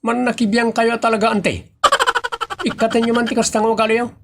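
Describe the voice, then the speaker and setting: A man playing a hot-tempered, stubborn man in a comedy audio drama, with an Ilocano accent and a husky, excitable, stammering delivery. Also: very high-pitched, brisk, very noisy.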